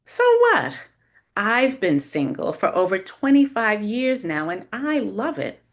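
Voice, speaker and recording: A woman voicing a chatty, blunt older mother who monologues over the phone in an audiobook-style story reading. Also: mid-range voice. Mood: brassy, scornful. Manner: brash, monologuing, interrupting.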